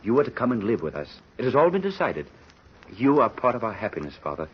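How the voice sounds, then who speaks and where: An actor playing an earnest, hesitant young bridegroom in a 1930s radio drama. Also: male voice, on the low side, big pitch swings, fast talker, husky. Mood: glad, eager. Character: earnest, troubled young bridegroom, polite son-in-law. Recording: muffled, noisy old radio recording.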